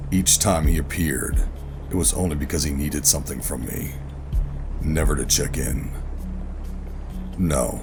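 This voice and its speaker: Very deep, gravelly brooding operative. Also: male voice. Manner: brooding, dramatic, deliberate. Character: bitter, defiant rogue sci-fi operative, hardened and wounded. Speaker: narrator and lead character. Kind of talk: noir first-person audio drama narration and dialogue. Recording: dramatic music behind the voice.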